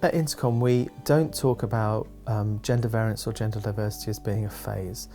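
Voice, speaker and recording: A man who works as a youth support worker, explaining in careful, deliberate phrases. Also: fairly low pitch, steady tone, warm tone, faint music behind. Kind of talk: explanatory interview talk.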